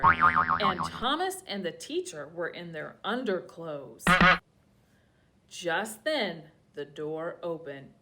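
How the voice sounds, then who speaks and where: Very animated woman reading a storybook. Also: creaky. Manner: expressive, swings into high character voices. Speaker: children's story reader. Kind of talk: storybook read-aloud. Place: church.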